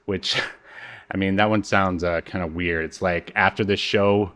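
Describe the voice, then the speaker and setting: Low-voiced man chatting casually on a podcast. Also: fairly even intonation, measured pace, a bit bright, clear, resonant tone. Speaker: podcast co-host. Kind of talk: casual chat about a TV show.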